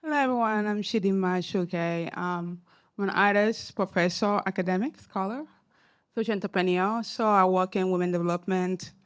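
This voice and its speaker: African-accented woman on a panel, lively, keeps saying right?, mid voice. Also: mid-range voice, moderate pace. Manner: animated, emphatic, asks rhetorical "right?". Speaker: panelist, academic and social entrepreneur. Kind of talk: panel discussion remarks. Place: symposium panel room.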